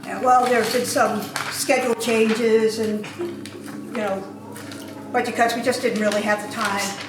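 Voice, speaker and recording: A woman's voice: a little high, fairly even intonation, measured pace, far from the mic. A woman making casual, offhand asides during an amateur play reading. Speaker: amateur actor. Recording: very echoey room, faint background noise.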